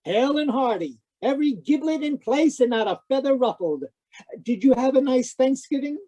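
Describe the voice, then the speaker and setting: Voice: high voice, lively intonation, normal speed, mellow. An actor playing a cocky, wisecracking hard-boiled private detective with glib, florid delivery, in old-time radio style. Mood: breezy, jaunty. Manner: glib, florid wordplay, teasing. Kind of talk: 1940s-50s radio detective acting.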